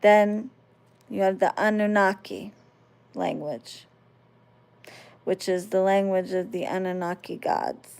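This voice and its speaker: A woman's voice: mid-range voice, steady tone, slow pace, creaky, long pauses, a little mellow, smooth. A woman from the United States, casually talking to her viewers and often checking that they follow along. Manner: conversational, checks listeners understand. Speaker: spiritual channel host.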